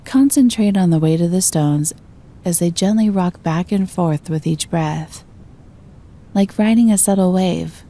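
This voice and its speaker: A woman narrating a scripted guided meditation in a gentle, calm and soothing manner. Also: on the low side, measured pace.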